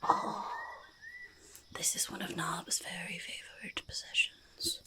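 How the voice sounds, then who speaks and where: A woman voicing a curious, skittish and chatty forest goblin in soft-spoken, half-whispered ASMR roleplay, halting and repeating words. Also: on the low side, level tone. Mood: fond, hushed. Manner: halting, repetitive, speaks of self in third person.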